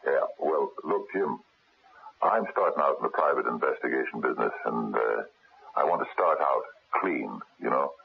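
Very deep, gravelly retired cop. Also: male voice, animated, normal speed, exaggerated voice. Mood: guarded, earnest. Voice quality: gravelly, husky. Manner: blunt, streetwise, hesitant phrasing. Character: gruff retired policeman turned honest private investigator. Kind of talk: 1970s radio drama acting. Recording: muffled narrow phone-like radio recording.

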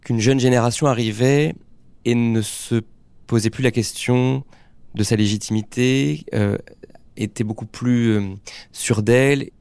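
A man interviewing actresses, asking long, thoughtful questions in a measured way. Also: medium pitch, fairly even intonation, gravelly, darkish tone, clear, resonant tone, no room sound.

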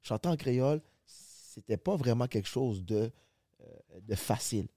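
A man's voice: mid-range voice, expressive, moderate pace, dark tone, no echo, studio quality. A man in his forties with a Québécois French accent, a guest rapper chatting casually on a podcast, rambling and often asking if you follow. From Quebec. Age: 40s. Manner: rambling, restarts often, asks "tu comprends?".

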